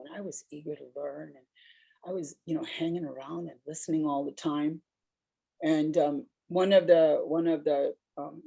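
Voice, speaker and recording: A woman's voice: sits low, vocal fry, dark tone. A woman with a big voice, telling personal stories on a discussion panel in a reflective, rambling way.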